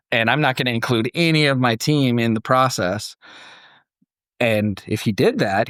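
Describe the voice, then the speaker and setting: A man hosting a podcast, moving between storytelling and casual discussion, acting out imagined quotes in an animated way. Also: on the low side, clean tone, no echo, clean studio sound. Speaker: podcast host.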